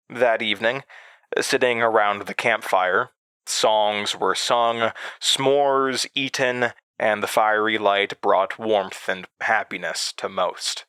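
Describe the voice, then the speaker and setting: A man's voice: fairly low pitch, pushed and bright, no room sound. An actor playing a haunted, anxious man who narrates his past hesitantly in a horror audio drama. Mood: uneasy, regretful.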